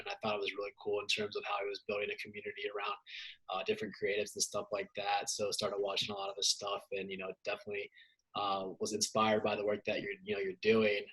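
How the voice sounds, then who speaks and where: A man from Ohio co-hosting a podcast, talking in a relaxed, rambling way. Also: fairly low pitch, husky, rough recording. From Canton, Ohio. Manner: relaxed, rambling conversational delivery. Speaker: podcast co-host. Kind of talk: casual interview chat.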